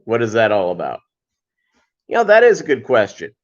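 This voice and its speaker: A man hosting a casual livestream, chatting with viewers in a halting way and wandering between asides. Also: fairly low pitch, huge pitch swings. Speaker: livestream host. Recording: dull lo-fi livestream audio.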